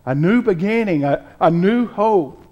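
Older guy preaching in church, really slow, kinda higher voice, big pauses. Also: lively intonation, dull audio. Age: 60s or older. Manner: deliberate, emphatic, with pauses between phrases. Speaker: pastor preaching. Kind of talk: Easter sermon from the pulpit. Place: church sanctuary, at a pulpit with choir behind.

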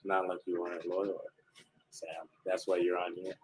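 A young man hosting a livestream signing, joking and excitable in a casual way. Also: low voice, normal speed. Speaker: stream host. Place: small streaming studio.

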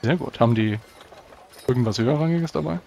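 A man's voice: medium pitch, a little fast, gravelly, darkish tone. A man playing a tabletop roleplaying game, speaking in solemn, formal in-character speech.

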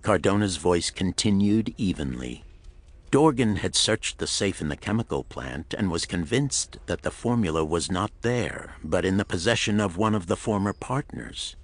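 A man with a deep voice narrates a pulp thriller. His delivery is dramatic, scripted storytelling, recorded close and dry with no room sound. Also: lively intonation, normal speed, no echo. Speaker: audiobook narrator.

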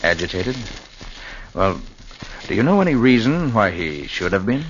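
An actor playing a calm, probing detective in a 1940s radio drama, brisk and questioning. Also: male voice, fairly low pitch. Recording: dull old radio recording with faint hiss.

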